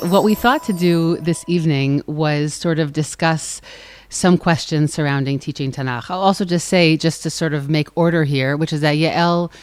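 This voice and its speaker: A woman in her thirties with a calm, soft-spoken voice, hosting a live podcast conversation in front of an audience. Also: low-pitched, moderate pace, soft background music. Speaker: podcast host. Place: live event before an audience.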